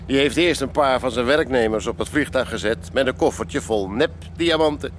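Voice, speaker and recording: A man's voice: medium pitch, normal speed, a little hiss or hum, natural voice. A man playing a hearty, commanding police sergeant in a radio play, speaking to his team with jovial authority. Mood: resigned, amused. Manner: jovial authority, addresses his companions as lads.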